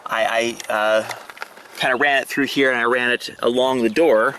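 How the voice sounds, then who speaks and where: A man giving a casual, halting show-and-tell of a hobby setup, pausing and restarting as he explains. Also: mid-range voice, fairly even intonation, bright, forceful tone, slight background noise. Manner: hesitant, halting, fragmented delivery. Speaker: amateur radio hobbyist demonstrating his setup. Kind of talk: casual demonstration vlog. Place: outdoors and in a parked vehicle in a residential neighborhood.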